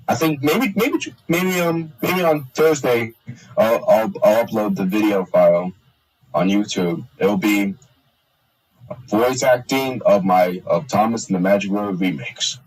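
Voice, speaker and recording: A young man chatting casually on a stream, halting with many restarts and fillers. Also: on the low side, darkish tone, husky, recorded from a distance, poor audio. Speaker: streamer and hobby voice actor. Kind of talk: casual chat on a live stream.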